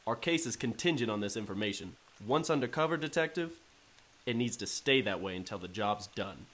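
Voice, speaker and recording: An actor playing a businesslike treasury agent in an old time radio drama, speaking matter-of-factly. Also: male voice, lowish voice, lively intonation, a little fast, a bit bright.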